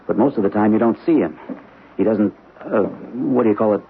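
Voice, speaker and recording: A man voicing an earnest family man in an old radio mystery play. His voice sits very low and steady. It comes through a tinny broadcast band with light hiss. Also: a little fast, lightly acted. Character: protective middle-aged husband and father. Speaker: homeowner. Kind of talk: 1970s radio drama acting.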